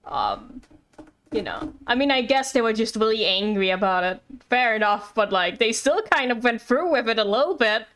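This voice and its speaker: High-voiced woman streaming a game. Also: big pitch swings, fairly bright tone. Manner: rambling, halting, playful. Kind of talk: casual live gameplay commentary.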